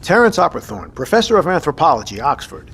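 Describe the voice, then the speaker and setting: An actor playing a decisive, gruff navy submarine captain in an audio drama, with commanding, clipped, profane delivery. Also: male voice, a little high, very animated, fast, a bit bright, exaggerated voice. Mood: brisk, probing. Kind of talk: scripted audio drama acting.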